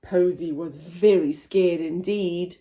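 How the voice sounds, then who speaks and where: A woman hosting a children's story time, reading aloud in an animated, expressive, theatrical way for very young listeners. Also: on the low side, slow, slight background noise. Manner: expressive, theatrical, with gasps and whispers. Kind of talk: animated read-aloud for toddlers.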